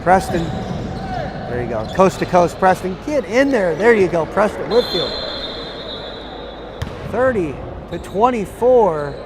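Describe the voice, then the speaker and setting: Hoarse, raspy male basketball commentator. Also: high voice, big pitch swings, measured pace, long pauses, background noise. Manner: partisan, cheers on one team with "we". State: hoarse, tired voice. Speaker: color commentator.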